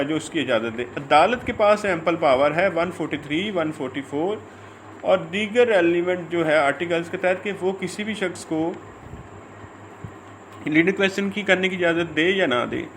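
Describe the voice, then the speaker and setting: A Pakistani man with a high voice lectures informally, in the manner of a law teacher. He goes haltingly, repeating phrases and switching between reading formal text and explaining it. Faint noise sits behind the homemade audio recording. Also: fairly bright tone, poor audio. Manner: halting, repeats phrases, stretches out English words when reading.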